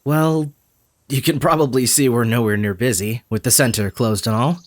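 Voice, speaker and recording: An actress playing a polite, tactful motel clerk in an audio drama, chatty and measured. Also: female voice, extremely low pitch. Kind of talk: naturalistic audio drama acting.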